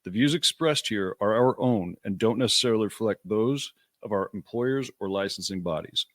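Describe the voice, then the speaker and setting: A man co-hosting a nursing podcast, a registered nurse who talks in a casual, halting way and restarts his sentences as he explains. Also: on the low side, a bit bright, dry recording, clean studio sound.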